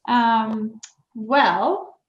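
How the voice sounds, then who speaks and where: A woman with a fairly high voice runs a club meeting, thanking speakers in a friendly way. The sound is dull and lo-fi. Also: very slow. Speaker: meeting host.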